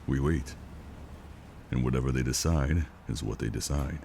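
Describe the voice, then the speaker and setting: A man plays a stoic covert operative and father in an audio drama. His voice is extremely deep, and he speaks with measured, weary resignation. There is faint noise behind him. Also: gravelly, warm tone. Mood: calm, philosophical.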